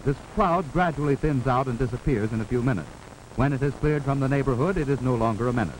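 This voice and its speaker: An American man narrating a 1940s instructional film in a formal, authoritative, measured way, on an old film soundtrack. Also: mid-range voice, a little hiss or hum, poor audio. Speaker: narrator of a wartime civil defense instructional film.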